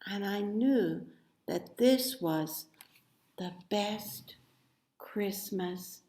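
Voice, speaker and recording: A woman narrates a children's story in an echoey room. She takes it very slowly, with deliberate, dramatic phrasing and an expressive delivery at an average pitch. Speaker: storybook reader.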